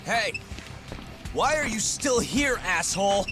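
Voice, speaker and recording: An actor playing a cocky, hostile teenage school bully in a video game, aggressive and foul-mouthed. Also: male voice, high voice, lively intonation, slow pace, over music. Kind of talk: video game dramatic acting.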